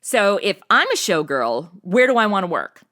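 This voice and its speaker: A woman's voice: medium pitch, sing-song, normal speed, fairly bright tone. A woman playing a bubbly young podcast host with casual, valley-girl slang in a scripted comedy interview. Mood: curious, casual. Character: bubbly slangy modern young woman hosting history segment.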